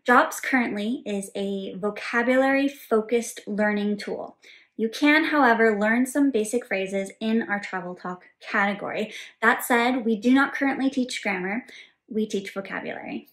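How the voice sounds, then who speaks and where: A woman in her thirties, the resident polyglot, answering viewer questions in a clear, explanatory way from a home office. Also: mid-range voice, moderate pace, a bit bright. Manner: clear, explanatory, gestures with both hands. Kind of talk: scripted Q&A to camera. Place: home office with bookshelves and world map, indoors.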